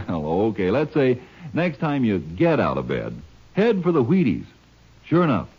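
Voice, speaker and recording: Very animated American radio pitchman. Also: slightly rough. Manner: chatty, cajoling, jaunty. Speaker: commercial announcer. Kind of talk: folksy 1950s radio cereal advertisement. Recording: muffled old radio recording.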